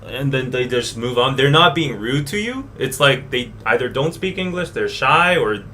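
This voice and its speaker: A man hosting a casual podcast conversation, explaining things in a measured, conciliatory way. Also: medium pitch, expressive, pushed and bright. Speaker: podcast host. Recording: faint background noise.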